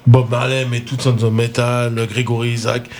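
A man's voice: mid-range voice, monotone, normal speed, darkish tone. Man recalling his music roots, faint noise. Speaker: composer and former hip-hop DJ. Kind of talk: casual interview answer.

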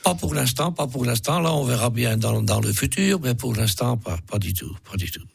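A man's voice: medium pitch, expressive, normal speed, vocal fry, husky, no room sound, clean studio sound. A man with a cold, a radio interview guest who speaks hesitantly with many restarts. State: has a cold. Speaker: association president, food truck owner.

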